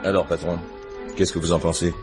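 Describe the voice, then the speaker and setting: An actor playing a blunt, impatient police inspector, curt and pressing, in a French radio crime drama. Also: male voice, low voice, level tone, a little fast, over music, close to an everyday voice. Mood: puzzled, reporting.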